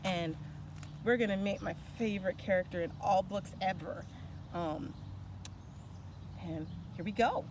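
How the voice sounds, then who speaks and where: A woman's voice: mid-range voice, measured pace, pauses often. A woman who works as a children's storyteller, speaking casually and reading aloud for kids. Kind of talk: animated read-aloud storytelling and casual introduction. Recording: clear ambient background noise.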